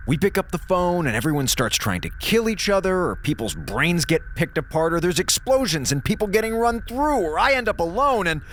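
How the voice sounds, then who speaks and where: A man acting in an audio drama as an exhausted, anxious space station crewman, speaking haltingly and emotionally. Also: sits high, big pitch swings, a bit bright, a little hiss or hum. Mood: anguished. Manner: halting, emotional, swinging delivery.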